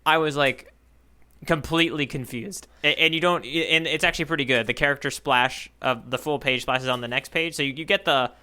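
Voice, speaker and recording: A man's voice: fairly high pitch, brisk, sharp tone, smooth. A man co-hosting a podcast in casual conversation, reflective and rephrasing his thoughts as he goes. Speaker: podcast co-host.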